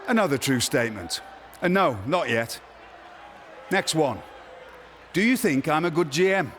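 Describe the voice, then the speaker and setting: A man's voice: a little high, animated, a little slow, fairly bright tone, faint music behind, a faint crowd. A man playing a pompous, theatrical wrestling general manager in a video game cutscene, speaking in formal, grandiose phrases. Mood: pompous, officious.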